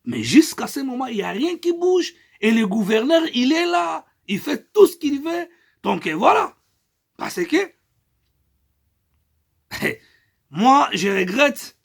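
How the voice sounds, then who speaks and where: A middle-aged Congolese man with a high, husky voice delivers an impassioned political appeal. He speaks French with a Congolese accent and presses his points hard, swinging between loud bursts and quieter stretches. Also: big pitch swings, slow, pauses often. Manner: emphatic, rising and falling loudness, mixes in Lingala phrases. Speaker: political activist.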